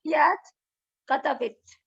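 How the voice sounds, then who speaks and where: A student woman, answering slowly and hesitantly as a beginner. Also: high-pitched, vocal fry, a bit bright, lo-fi sound. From Lebanon. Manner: hesitant beginner, halting with fillers.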